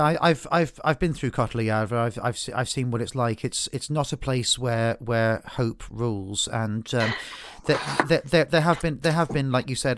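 A man hosting a political podcast, talking in a halting way with many restarts and fillers. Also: mid-range voice, noisy background. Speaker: podcast host. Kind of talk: political discussion.

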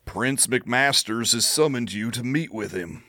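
An actor playing a brusque, formal messenger for a vampire prince in an audio drama. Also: male voice, mid-range voice, unhurried, bright, forceful tone, no echo. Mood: curt, insistent. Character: curt, no-nonsense male messenger of a vampire prince.

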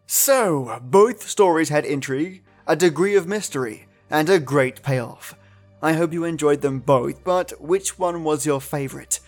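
Man hosting a podcast, chatty wrap-up, slightly high voice, faint music behind. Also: expressive, unhurried. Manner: chatty, laughs easily. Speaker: podcast host.

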